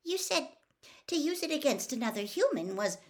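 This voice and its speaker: Woman doing a nervous teen schoolgirl, faltering, lively pitch. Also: normal speed. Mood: uncertain. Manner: hesitant, faltering. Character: anxious studious teenage schoolgirl.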